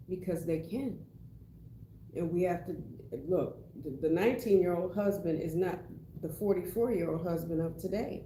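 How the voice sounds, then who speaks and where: A woman in her forties co-hosting a casual discussion, frank and quick with teasing quips. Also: on the low side, dark tone. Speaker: co-host. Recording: echoey room with faint noise.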